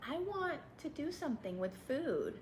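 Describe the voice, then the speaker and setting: A woman's voice: high-pitched, sing-song, moderate pace, a little mellow, slight background noise. A woman from Texas raised in Bangkok, a restaurant owner telling her story in a candid interview, with many ums and easy laughs. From Texas and Bangkok, Thailand. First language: Thai.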